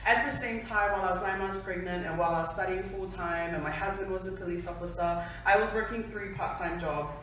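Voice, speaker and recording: A young woman from New Zealand shares her story before a crowd, chatty and quick to laugh at herself. Her voice bounces around a big echoey hall and sounds as if it was picked up from well back. A faint hum of room noise sits underneath. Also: fairly low pitch, fairly bright tone, recorded from a distance. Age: 20s. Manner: chatty, laughing, self-deprecating. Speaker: speaker at a company event. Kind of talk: personal story speech to an audience.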